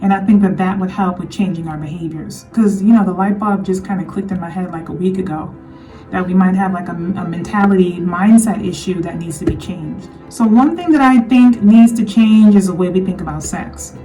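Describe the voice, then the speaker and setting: A woman speaks in an earnest, repetitive commentary style, her voice mellow and a little hoarse. She sits somewhat away from the mic. Soft music plays faintly behind her. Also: on the low side, fairly quick, far from the mic, rough recording. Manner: earnest, repeats points to drive them home. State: slightly hoarse, losing her voice. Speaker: vlogger. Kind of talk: casual commentary monologue.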